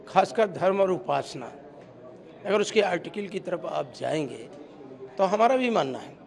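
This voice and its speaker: A male politician lays out his position for the press in deliberate short phrases. His voice is high in pitch with a clearly gravelly creak. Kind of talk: explanatory press interview.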